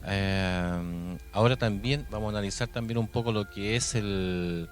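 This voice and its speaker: A man's voice: on the low side, measured pace. A man with a Chilean accent hosting a radio show in a conversational way, hesitantly searching for his words. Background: music.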